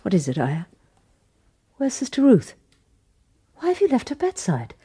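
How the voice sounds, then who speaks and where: An actress playing a composed nun in charge, calm but firm, giving orders in a radio drama. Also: female voice, medium pitch, unhurried, husky, exaggerated voice. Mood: alarmed.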